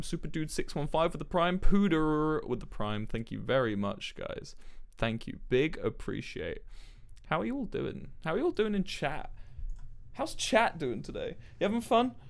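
A man's voice: a little high, sing-song, normal speed, vocal fry. A man live streaming a game, chatting casually with jokey, sweary banter. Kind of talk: casual gaming chat.

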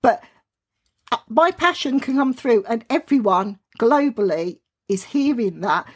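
A woman's voice: a little high, lively intonation, slightly rough. A woman with a Southern English accent, chatting enthusiastically as a podcast guest. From southern England. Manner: effusive, quick to enthuse. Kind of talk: casual podcast chat.

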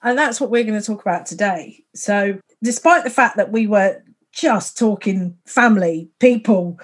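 A woman hosts a relaxed conversation. She rambles from thought to thought, laughs often, and speaks at an ordinary, mid-range pitch. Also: moderate pace. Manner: rambling, laughs often, frequent fillers and restarts. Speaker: podcast host. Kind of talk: casual conversation.

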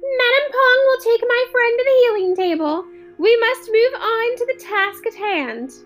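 Lady doing a squeaky bossy alien captain, barking orders, storybook. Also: animated, unhurried, a bit bright, full character voice. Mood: stern. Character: brisk commanding male alien captain.

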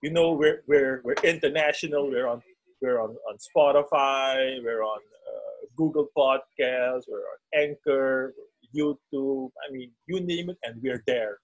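Husky joking podcast man. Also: medium pitch, a little slow, rough recording. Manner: joking, laughs at own jokes. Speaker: podcast co-host. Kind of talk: casual podcast chat.

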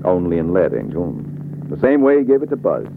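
An actor playing a wry, self-assured private detective with an American accent, glib and slangy in an old radio drama. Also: male voice, low-pitched, huge pitch swings, gravelly, noisy background, exaggerated voice. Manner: glib, slangy, unhurried reasoning. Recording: muffled narrow-band old radio recording.

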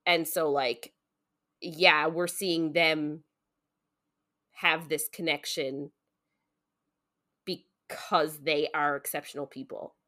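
Lady podcast host just chatting about books, kinda low pitched but bright, earnest and hedgy. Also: steady tone, slow, long pauses. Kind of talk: casual book discussion.

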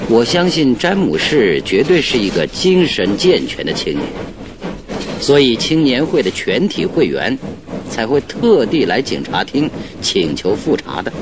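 An actor playing a confident male detective in a radio drama, reasoning out a case with deliberate, theatrical authority. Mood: assured. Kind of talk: Mandarin radio drama acting. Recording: noisy old radio drama, train rumble behind.

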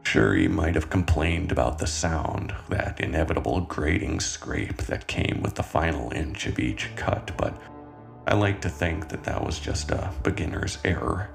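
Man playing a very deep, gravelly, brooding killer. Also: heavily acted. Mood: calm, confiding. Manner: measured, deliberate, with dramatic pauses. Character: calm, brooding male cannibal killer confessing fondly. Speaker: writer and narrator of a horror fiction podcast. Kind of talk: scripted first-person horror monologue. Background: music soundtrack.

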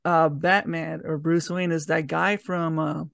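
A man's voice: a little high, little pitch movement, unhurried, creaky, fairly bright tone, no room sound, clean studio sound. A man co-hosting a podcast, giving opinions in a casual, hesitant, conversational way. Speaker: podcast co-host. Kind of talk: casual review chat. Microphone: online video call recording.

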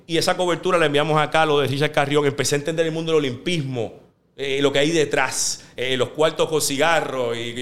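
A man with a Puerto Rican Spanish accent, a sports journalist telling stories in an interview and acting out the quotes. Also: mid-range voice. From Puerto Rico. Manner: animated, fast storyteller who acts out quotes. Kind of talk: interview storytelling.

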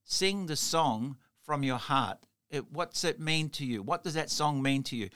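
A man's voice: fairly high pitch, lively intonation, a little slow, fairly bright tone. A middle-aged man from Italy with an Australian accent, hosting a casual interview and telling chatty stories with lots of "you know". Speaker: podcast host.

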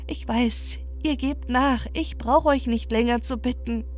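A gentle little moor princess from a storybook pleads softly in a raised, sweet voice, as a woman acts her out. Faint music plays beneath. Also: a little high, unhurried, natural voice. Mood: coaxing. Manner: soft, pleading.

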